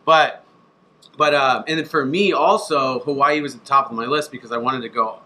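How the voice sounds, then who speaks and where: A man's voice: mid-range voice, normal speed, bright, forceful tone. A man, a chef and brand founder, talking casually in an interview, swearing freely and acting out other people's messages.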